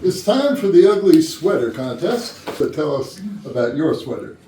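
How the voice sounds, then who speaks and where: A man's voice: fairly high pitch, sing-song, normal speed, mellow. A man playing a genial, appreciative boss hosting a party in a staged comedy reading. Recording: echoey rehearsal room, distant, faint noise.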